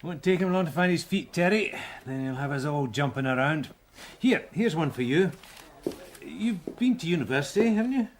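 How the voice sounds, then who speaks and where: Easy-going police sergeant, animated, chatty and joking, radio drama. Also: male voice, fairly high pitch, normal speed, a little hiss or hum. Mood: bantering. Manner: chummy, joking, rambling. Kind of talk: naturalistic British radio drama acting.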